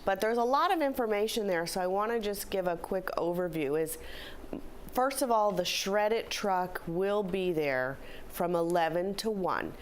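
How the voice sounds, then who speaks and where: A middle-aged woman, a philanthropy director, slightly hoarse, giving upbeat, chatty announcements in a studio interview. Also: medium pitch, animated, moderate pace. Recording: faint background noise.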